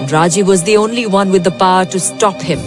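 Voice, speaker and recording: A woman's voice: medium pitch, fairly quick, close to an everyday voice. A woman narrating as the solemn storyteller of an ancient epic in a video game. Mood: solemn, ominous. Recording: loud music under the voice.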